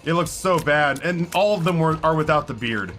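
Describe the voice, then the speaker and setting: A man in his thirties streaming and commenting on a game in a rambling, self-mocking way, his voice hoarse after hours of talking. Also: a little high, animated, normal speed, a bit bright, faint music behind. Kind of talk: live gameplay commentary. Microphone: headset with headphones.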